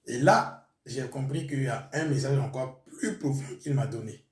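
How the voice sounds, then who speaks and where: A man's voice: medium pitch, normal speed, echoey room, far from the mic. A man from Burkina Faso whose first language is Mooré, a pastor giving his testimony in a hesitant way with many fillers. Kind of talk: testimony interview.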